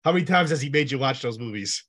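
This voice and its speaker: A male host asks his guest upbeat questions at a brisk clip. His voice sits at an ordinary pitch, and he keeps the talk moving with short nods of agreement. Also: lively intonation, a bit bright. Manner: brief affirming backchannels, upbeat questions. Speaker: interview host. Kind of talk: casual interview questions.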